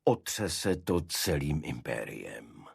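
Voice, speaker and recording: A man voicing a cold, authoritative gentleman official in an audiobook, speaking in a formal, measured, worried way. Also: rumbling low, sing-song, gravelly, breathy edge, dry recording, clean studio sound, pitched below the performer's own voice, exaggerated voice. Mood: frank, concerned. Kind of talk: audiobook character dialogue.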